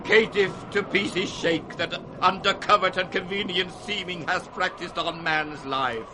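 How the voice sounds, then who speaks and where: An actor playing an aging, tormented king sliding into madness, with a grand British declamatory delivery in a Shakespearean radio play. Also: steady tone. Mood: raging, commanding. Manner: grand, declamatory, slow and weighty. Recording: noisy 1960s radio recording, storm-like rumble.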